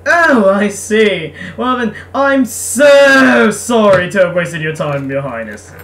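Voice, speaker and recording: A woman's voice: mid-range voice, lively intonation, slow pace, fairly bright tone. A woman voicing a proud, sarcastic young male craftsman in a visual novel game. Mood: sarcastic, angry. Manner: sarcastic, boastful. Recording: distant mic, faint background noise.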